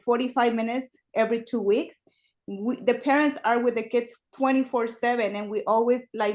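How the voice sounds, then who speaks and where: A woman from Mexico whose first language is Spanish, speaking English with a Mexican Spanish accent in an earnest, casual way on a video call, with some grammar slips. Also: a little high. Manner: earnest, fillers like "um" and "like", non-native grammar slips. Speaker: parish religious education worker. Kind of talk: online meeting discussion.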